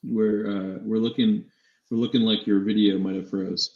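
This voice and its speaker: A man co-hosting a casual fantasy sports live show, talking in a halting way with repeated and restarted phrases. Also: lowish voice, level tone. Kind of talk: casual sports talk banter. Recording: echoey room, dull lo-fi mic.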